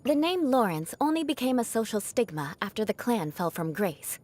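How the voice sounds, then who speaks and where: A woman's voice: a little high, big pitch swings, normal speed, darkish tone. A young woman voicing a proud, haughty noblewoman knight in a video game, an outcast bent on revenge, with formal, dramatic diction. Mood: proud, defiant. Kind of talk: video game character voice lines.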